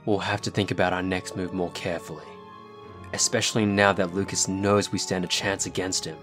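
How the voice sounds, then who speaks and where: A man's voice: deep voice, flat delivery, brisk, music in the background, close to an everyday voice. A man playing a grieving, defiant young werewolf heir in an earnest, intense roleplay drama. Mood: grave, wary.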